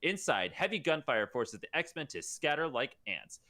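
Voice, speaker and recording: A man co-hosting a livestreamed table read. He reads stage directions aloud in a bright, slightly high voice that swings widely in pitch, and keeps stopping to crack jokes. It sounds like a home microphone. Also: very animated, moderate pace. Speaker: podcast co-host. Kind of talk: comedic live table read and chat.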